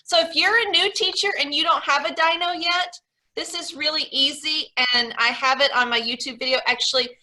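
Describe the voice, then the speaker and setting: Woman, meetup host, bright and fairly high, rambling and chatty, on a video call. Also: fairly even intonation, brisk. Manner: chatty, rambling, many fillers and restarts. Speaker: online English teacher and meetup host. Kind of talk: casual video call chat.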